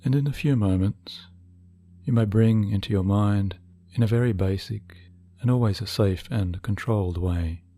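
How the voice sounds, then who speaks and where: A man narrating a scripted hypnotic guided meditation in a soothing, measured, deliberate manner. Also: fairly low pitch, no room sound. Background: soft background music.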